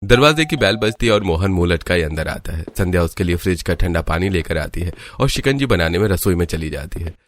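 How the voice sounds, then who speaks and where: A man's voice: deep voice, animated, a little fast, few pauses, no echo. A man narrating a scripted family story as its storyteller.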